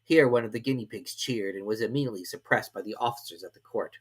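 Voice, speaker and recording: A woman narrating a children's storybook with expressive delivery. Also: extremely low pitch, fast, slightly rough.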